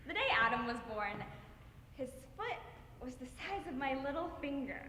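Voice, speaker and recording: Young woman acting an anguished, high-voiced grieving mother. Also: sing-song, pushed and bright, exaggerated voice. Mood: fond, wistful. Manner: halting, emotional, theatrical delivery. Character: grieving mother Madeline, anguished and tender, reliving loss. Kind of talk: dramatic interpretation monologue on stage. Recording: echoey hall with noise.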